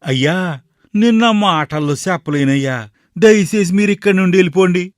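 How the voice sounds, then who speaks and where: A man's voice: high-pitched, brisk, no room sound, clean studio sound, natural voice. A man playing a humble, pleading street beggar in a cartoon moral story. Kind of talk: animated moral story dialogue.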